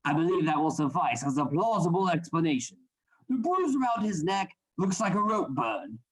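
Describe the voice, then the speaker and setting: A man acts out a domineering anatomy assistant in a Victorian horror radio play. His voice is rough and husky, with big swings in pitch. He speaks in formal, theatrical commands, scheming and controlling. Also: very animated, normal speed, rough recording. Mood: cold, controlling. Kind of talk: live radio play acting.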